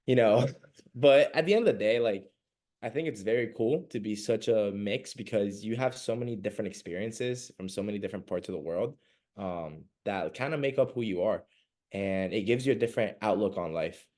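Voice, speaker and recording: A man's voice: lowish voice, brisk, a little mellow. A young man from Venezuela, a podcast guest chatting casually and rambling with lots of fillers. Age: young adult. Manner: rambling, many fillers and laughs. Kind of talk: casual interview chat.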